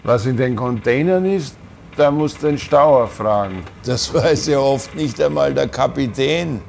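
A man's voice: mid-range voice, animated, unhurried, gravelly, darkish tone, slight background noise. An actor playing a weathered, easygoing veteran seaman who tells anecdotes in a colloquial, rambling way in a radio play. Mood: matter-of-fact, amused. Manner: colloquial, rambling, matter-of-fact. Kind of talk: German radio play acting, conversational anecdotes.

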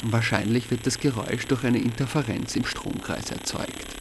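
A man from Austria reads a scripted first-person audio diary as narrator, in a measured, dryly wry way. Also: mid-range voice, steady tone, noisy background.

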